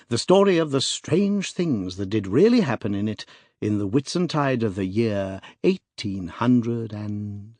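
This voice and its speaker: Man narrating with lively, swooping intonation. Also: medium pitch, dry recording. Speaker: audiobook narrator. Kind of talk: scripted narration of classic fiction.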